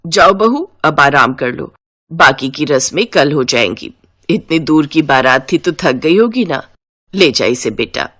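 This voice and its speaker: A woman voicing a kindly middle-aged mother-in-law in a cartoon moral story, speaking in a gentle, motherly, instructing way. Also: sits low, animated, unhurried, voice pushed down, exaggerated voice. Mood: warm, caring. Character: kindly welcoming middle-aged mother-in-law greeting her new bride.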